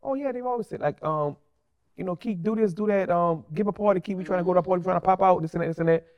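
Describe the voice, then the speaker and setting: A man's voice: sits high, rapid-fire, highs cut off. A man with a New Orleans accent chatting casually as a podcast guest, blunt and slangy, often repeating himself for emphasis. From New Orleans, USA. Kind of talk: casual, animated interview chat.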